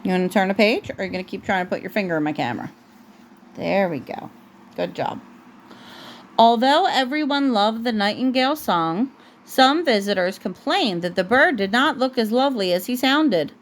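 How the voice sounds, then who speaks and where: A woman's voice: mid-range voice, animated, moderate pace, slight background noise. A woman reading a storybook aloud as a mother to her young child, often breaking off to correct the child. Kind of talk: storybook read aloud at home, with asides to a child.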